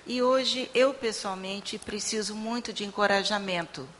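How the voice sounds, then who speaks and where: A woman interpreting a sermon into Portuguese and reading scripture aloud in a steady, measured way. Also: medium pitch. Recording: long hall reverb with faint room noise.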